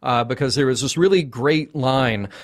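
A man hosting a casual fan discussion show, engaged and quick to respond to what he hears. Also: mid-range voice. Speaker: talk show host.

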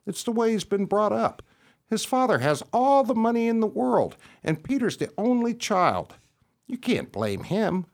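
A worldly-wise, friendly dog handing out frank advice in a radio play, played by a man. His voice sits a little high and swings about in a folksy, matter-of-fact way. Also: sing-song, unhurried, vocal fry, heavily acted. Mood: frank, advising. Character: streetwise, frank, friendly older-hand dog giving advice.

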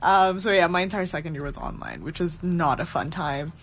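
A woman's voice: fairly low pitch, animated, a little fast, husky. A young woman from Ethiopia, a student union coordinator, chatting in a rambling, laughing way on campus radio. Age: young adult. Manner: rambling, laughing asides, many "like"s. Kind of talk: casual radio chat.

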